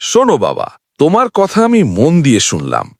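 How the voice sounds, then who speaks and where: A man's voice: on the high side, wildly swooping pitch, a little fast, creaky, no room sound, clean studio sound, heavily acted. A man voicing a kindly, wise holy man in a cartoon story, speaking in an instructive, fatherly way. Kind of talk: dubbed cartoon morality tale.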